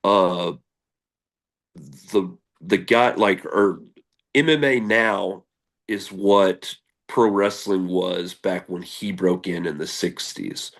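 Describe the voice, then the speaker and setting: Deep gravelly man, rambling interview guest. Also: slow. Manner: rambling with many fillers and restarts; candid, earnest. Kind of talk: casual interview chat.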